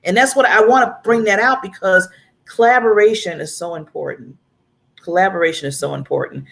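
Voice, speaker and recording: A woman's voice: medium pitch, brisk, gravelly. A woman hosting a talk show who speaks with emphatic, colloquial enthusiasm and laughs often.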